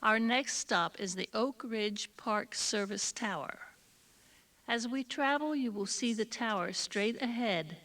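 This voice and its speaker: A woman's voice: on the high side, unhurried, a bit bright, recorded from a distance. A woman reading scripted instructions as an audio tour guide. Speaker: tour guide giving directions.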